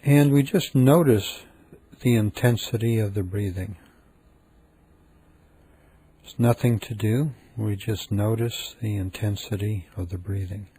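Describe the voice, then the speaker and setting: A man leading a guided meditation in a gentle, calm, unhurried manner with frequent pauses. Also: fairly low pitch, a little hiss or hum.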